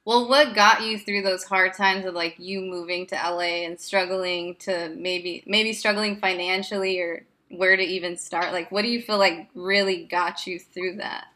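Woman, host-interviewer; bright, clear tone, curious and encouraging. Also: lowish voice, steady tone, moderate pace, few pauses. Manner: curious, with frequent backchannel "right". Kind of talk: casual interview questions.

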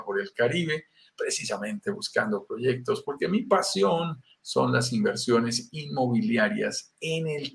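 A man's voice: medium pitch, vocal fry. Colombian man presenting a live webinar. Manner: explanatory, addresses viewer directly, frequent "eh" fillers. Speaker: real estate investment presenter.

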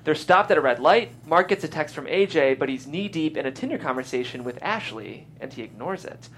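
A man's voice: fairly high pitch, expressive, fairly quick, a bit bright. A man reading screenplay stage directions aloud as the narrator of a live table read. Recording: faint background noise.

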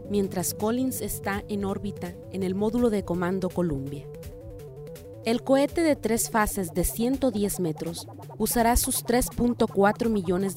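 A woman reading scripted documentary narration as the narrator. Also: mid-range voice.

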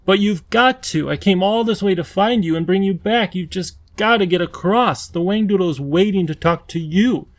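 A man's voice: high voice, moderate pace, hardly any pauses, voice pushed up. A man voicing a brave, earnest young girl who urges and coaxes in a storybook reading. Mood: urgent, coaxing. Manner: pleading, eager.

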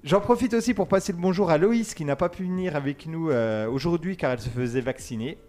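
A man's voice: on the high side, fast, darkish tone. A man hosting a casual student radio show, chatty and joking as he teases his guests. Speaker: host.

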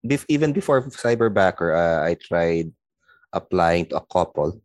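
A man's voice: sits low, lively intonation, moderate pace, darkish tone, no room sound. A middle-aged man with a Filipino accent, chatting casually as an interview guest. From Philippines. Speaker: sales lead, interview guest.

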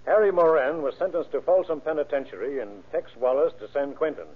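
A man's voice: on the high side, a little fast, slightly rough. A man reading a scripted crime case narration in 1930s radio style. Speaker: police chief narrating a case. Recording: muffled, band-limited old radio recording.

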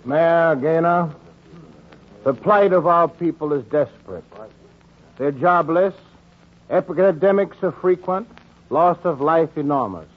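An urgent, earnest clergyman in a 1940s radio play. His voice sits high and he pleads formally, in declamatory phrases. The old broadcast sound is dull and limited. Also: takes it slowly, long pauses. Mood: urgent, grave pleading. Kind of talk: 1940s radio drama acting.

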